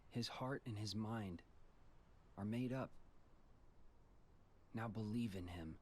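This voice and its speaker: A steady young warrior in a game cutscene. His voice is fairly low, calm and loyal, with a supportive firmness. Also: slow, slight background noise. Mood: calm, firm. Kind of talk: video game cutscene acting.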